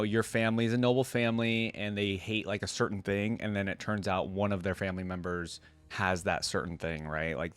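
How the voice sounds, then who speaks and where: Low-voiced man doing casual reaction commentary. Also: no echo. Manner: conversational, pauses reading to comment. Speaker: reaction video host. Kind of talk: casual reaction commentary mixed with reading aloud.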